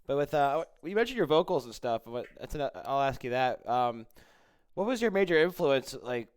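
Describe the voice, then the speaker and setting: A man's voice: medium pitch, very animated, moderate pace, clear, resonant tone. A man interviewing casually as a host, informal and agreeable, with loosely phrased questions.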